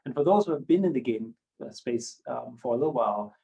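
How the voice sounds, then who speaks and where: A German native speaker in his fifties, from Austria, talks in a measured, reflective way and hedges often. His voice is mellow and warm, and its pitch sits in the middle range for a man. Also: animated, vocal fry, muffled recording. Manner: measured and reflective, many hedging fillers. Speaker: company founder and guest. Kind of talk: podcast interview.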